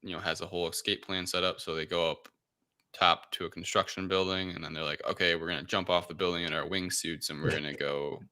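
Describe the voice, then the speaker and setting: A man's voice: sits low, little pitch movement, fast, bright, forceful tone. A man co-hosting a casual film review chat, talking in a hesitant, rambling way. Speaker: podcast co-host reviewing films.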